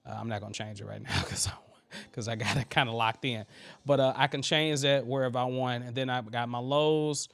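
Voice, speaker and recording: A man's voice: medium pitch, steady tone, fairly quick, gravelly, fairly bright tone. A man with a thin voice hosting a casual live tutorial on vocal mixing, loosely chatting with viewers as he works. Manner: loose and chatty, full of fillers and asides to the chat. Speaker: host teaching vocal mixing.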